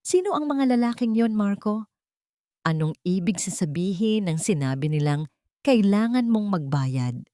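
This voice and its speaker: Give this story a woman playing an anxious wife and mother who pleads with her husband. Her voice is mellow and sits very close, with no room around it. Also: medium pitch, expressive, unhurried, dry recording, voice pushed up, lightly acted. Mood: angry, insistent.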